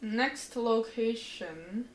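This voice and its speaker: A woman's voice: a little high, extremely slow, slight background noise. A woman casually commentating a livestreamed game, talking to herself as she plays. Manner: talks to herself, loud exclamations. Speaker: game streamer. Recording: echoey room with game music. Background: game music.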